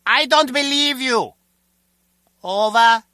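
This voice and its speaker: A man's voice: very high-pitched, slow pace, sharp tone, smooth, no echo, studio quality, full character voice. An actor playing a petulant, suspicious and literal-minded male lab assistant in a comic video game, talking in a stilted, insistent way.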